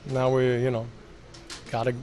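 Flat-voiced older coach at press podium. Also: male voice, mid-range voice, normal speed, a little hiss or hum. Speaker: head coach. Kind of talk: postgame press conference. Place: press room. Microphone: podium mics.

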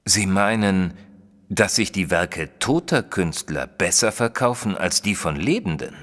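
Gentleman narrator, detective audio drama: very deep, animated, proper, faintly indignant, measured storytelling. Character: proper Victorian gentleman narrator, opinionated, easily shocked companion. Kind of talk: dramatised first-person audiobook narration in German.

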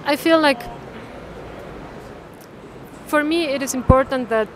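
A woman, talking haltingly and thoughtfully about her artwork, often tagging phrases with "no?". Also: sits high, slight background noise. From Mexico. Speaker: artist. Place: large indoor art fair hall. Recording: crowd chatter in a busy hall.

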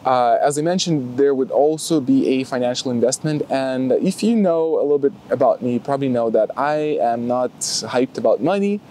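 A man's voice: mid-range voice, animated, moderate pace, few pauses, warm tone, a little hiss or hum. A young man with a Lithuanian accent, chatting to the camera outdoors on a grassy field, with lots of fillers and restarts. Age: young adult. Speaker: martial arts vlogger. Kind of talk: casual talk to camera. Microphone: camera close in front.

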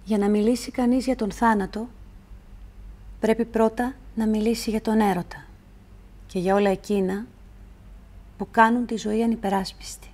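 A woman reciting literary poetry in a measured, deliberate way, with pauses between phrases. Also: mid-range voice, fairly even intonation, a little mellow. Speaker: poetry reader.

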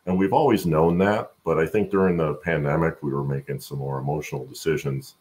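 Super deep gravelly guy on a video call explaining stuff, thinking out loud. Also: fairly even intonation, brisk. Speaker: guest, supply chain expert. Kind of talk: conversational interview answers. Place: home room, on a video call.

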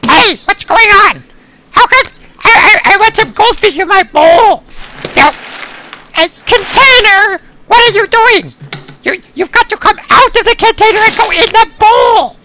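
Squeaky, frantic hungry cartoon dog. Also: expressive, a little slow. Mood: eager, puzzled. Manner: frantic, repetitive, pleading exclamations. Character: excitable, hungry, impatient pet dog. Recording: noisy recording with loud clicks.

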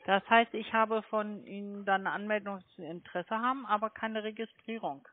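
A woman's voice: mid-range voice, fairly even intonation, normal speed. A woman making a sales call as a telemarketer over the phone. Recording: tinny narrow phone line.

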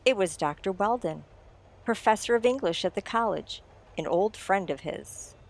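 A woman playing a suave mystery writer in a radio drama, telling the tale in a deliberate, teasing, theatrical manner. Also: medium pitch, animated, creaky. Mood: dramatic storytelling. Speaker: audio drama actor. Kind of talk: old-time radio mystery narration.

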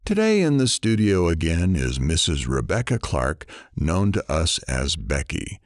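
A man acts out a weary morning radio host in an audio drama. His voice is deep and swings expressively, with dry sarcasm and self-mockery, recorded close and dry. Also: very animated, measured pace, no room sound. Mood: dry, exasperated. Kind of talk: scripted audio drama broadcast.

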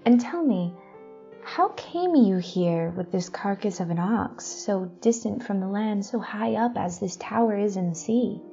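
A woman playing a forthright, lonely princess in an audio drama, speaking archaic storybook English in a breathy voice. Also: medium pitch, animated, a little slow, warm tone, highs cut off, soft background music. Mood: curious, questioning.

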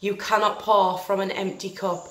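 A woman leading a guided meditation in a gentle, soothing, deliberate manner, leaving long pauses between phrases. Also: mid-range voice, flat delivery. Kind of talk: guided meditation, scripted narration. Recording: roomy echo with faint music behind.

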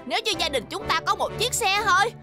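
A woman voicing a bossy, scheming, expressive little schoolgirl in a cartoon dub. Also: extremely high pitch, fast talker. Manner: expressive, pleading and indignant. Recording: music behind the voice.

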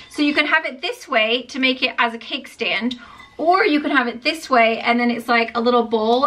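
Bright, chatty woman in her kitchen. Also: fairly high pitch. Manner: chatty, upbeat, frequent "like" and "um". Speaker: lifestyle vlogger. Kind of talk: casual haul vlog. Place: home kitchen, indoors.

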